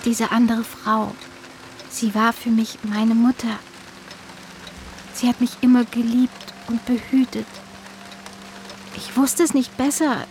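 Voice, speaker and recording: A woman's voice: a little high, flat delivery, slow, long pauses, darkish tone, slight background noise. An actress playing a young woman, a long-lost sister turned up as a stranger, hesitant and intense, in naturalistic radio drama style. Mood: confessing, pained. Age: young adult. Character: long-lost abducted younger sister posing as drenched lost hiker.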